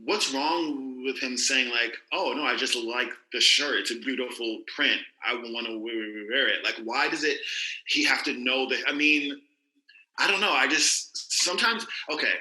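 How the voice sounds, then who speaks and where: A man's voice: mid-range voice, normal speed, sharp tone, roomy sound, low-quality recording. A man co-hosting a podcast, chatting casually with many fillers and restarts. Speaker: podcast co-host, teacher. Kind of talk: casual chat.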